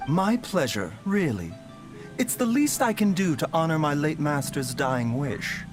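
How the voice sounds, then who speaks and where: An actor playing a calm, secretive male doctor who speaks politely and formally in a video game. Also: a little high, measured pace. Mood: courteous, apologetic. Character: courteous, soft-spoken adult male doctor, calm and secretive. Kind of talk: English video game voice acting. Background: loud music, streamer talking over.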